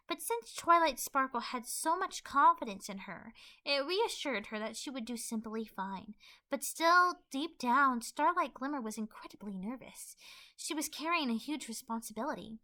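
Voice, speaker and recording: A woman narrates a fan-made audio story from a script. Her voice is high and bright, with a very animated rise and fall.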